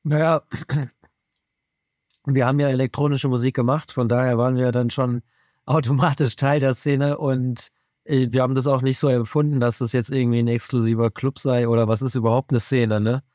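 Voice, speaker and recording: A man, a musician guest in an interview, answering in a relaxed, matter-of-fact way. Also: mid-range voice, moderate pace, no room sound, studio quality. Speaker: band member, musician guest.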